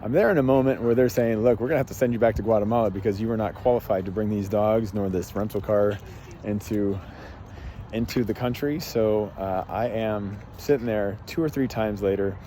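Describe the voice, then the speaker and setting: Low, mellow man telling a story. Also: brisk, a little hiss or hum. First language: English. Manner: rambling, many fillers and restarts. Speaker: podcast host and life coach. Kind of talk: casual storytelling monologue.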